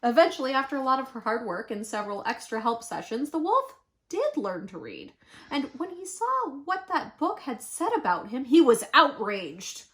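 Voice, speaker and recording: A woman reads a children's story aloud. Her voice is high and swings widely in pitch, and she leans on words with dramatic pauses. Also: big pitch swings, a bit bright. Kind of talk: expressive children's picture-book read-aloud.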